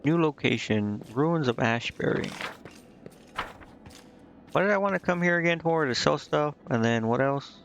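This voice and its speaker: Creaky-voiced man muttering through a game. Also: on the high side, animated, moderate pace, long pauses, a bit bright, slight background noise. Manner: muttering to himself, indecisive, slangy. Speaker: game streamer. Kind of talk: casual live gameplay commentary, thinking aloud. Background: game music.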